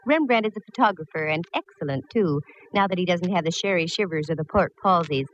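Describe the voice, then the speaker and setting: A wry, breezy female detective played by a woman from a 1940s radio mystery. Her voice sits fairly low and she tosses off flip wisecracks. The old recording is slightly dull with faint hiss. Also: expressive, brisk, close to an everyday voice. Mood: wry narration.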